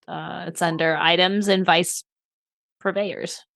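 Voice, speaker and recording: A woman player in a tabletop session, speaking hesitantly and thinking out loud. Her voice is a little low for a woman. The sound is dry and close. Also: fairly even intonation, slow, vocal fry, no echo. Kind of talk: casual game chat.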